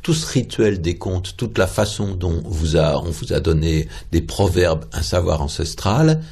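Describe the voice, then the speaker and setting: A man's voice: sits low, expressive, a little slow, vocal fry, a little mellow, husky. A man hosting a radio interview, asking thoughtful questions and addressing his guest formally.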